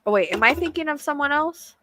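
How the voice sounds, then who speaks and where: A woman's voice: sits high, animated, fast, a bit bright. A woman hosting a casual live chat as a psychic medium, with a soothing voice and a chatty, hesitant way of talking full of fillers.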